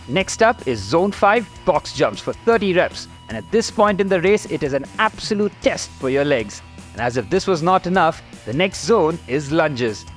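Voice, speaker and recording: A man with an Indian English accent presenting and commentating a fitness race in an upbeat, excitable way. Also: fairly high pitch. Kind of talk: scripted sports commentary.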